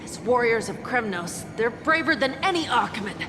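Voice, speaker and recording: A woman's voice: a little high, big pitch swings, moderate pace, noisy background. A woman voicing an admiring, earnest child who is proud of a warrior heritage, in a video game. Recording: reverberant, with music behind.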